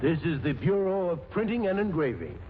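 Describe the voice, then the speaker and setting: A droll government clerk in an old radio comedy. His voice is high and he explains things obligingly, a little befuddled. The sound is thin, like a phone line, with noise. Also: very animated, brisk. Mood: droll, explaining. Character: obliging, slightly befuddled government money-printing official. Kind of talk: 1940s radio comedy acting. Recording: tinny, noisy 1940s radio recording.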